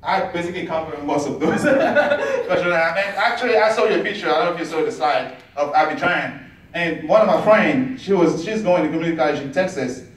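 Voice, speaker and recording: A man from Ivory Coast answering audience questions after his presentation, halting with many fillers and restarts. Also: a little high, animated. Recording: echoey room, far from the mic, faint noise.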